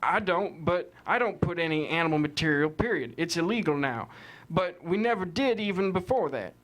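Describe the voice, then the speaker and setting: Man playing blunt, indignant cattle rancher, higher-voiced. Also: animated, moderate pace, a bit bright, poor audio. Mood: insistent. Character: indignant, plain-spoken male cattle rancher on witness stand. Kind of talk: scripted table read of courtroom drama.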